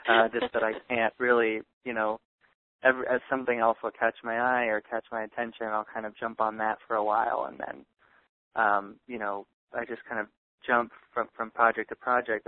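Young man rambling over a thin line. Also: on the low side. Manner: rambling, many fillers and restarts. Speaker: guest. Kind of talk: casual interview chat. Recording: tinny phone-like line.

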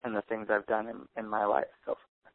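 A man's voice: fairly low pitch, monotone, fairly quick. A young man talking casually as an interview guest, rambling with many fillers and restarts. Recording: tinny phone-like line.

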